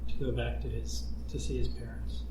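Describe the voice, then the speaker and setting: A man's voice: mid-range voice, little pitch movement, brisk, mellow. Man hosting casually in an echoey room. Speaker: podcast writer and Q&A host. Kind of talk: casual Q&A chat. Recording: echoey room, distant mic, faint noise.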